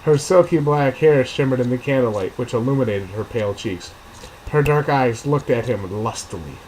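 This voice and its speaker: A man's voice: medium pitch, moderate pace, a little mellow, slight background noise, poor audio. A man reading fiction aloud as its author, with casual asides as he thinks aloud about edits. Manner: thinks aloud, rereading and revising sentences. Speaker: author reading own novel draft and commenting on edits.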